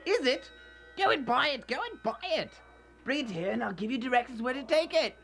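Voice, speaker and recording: A woman playing an old male miser reborn as a giddy, generous soul in a radio drama, exclaiming and repeating phrases excitedly. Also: high voice, a little fast, faint music behind. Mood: jubilant, excited.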